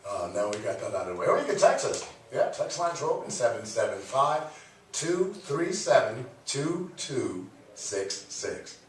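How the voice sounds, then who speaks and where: A man hosts a casual radio talk show, joking and teasing his co-host in a loose, playful way. His voice sits at an ordinary pitch for a man. It comes from a mic set back in a very echoey room, with a faint hiss underneath. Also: very animated, moderate pace, far from the mic. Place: radio studio.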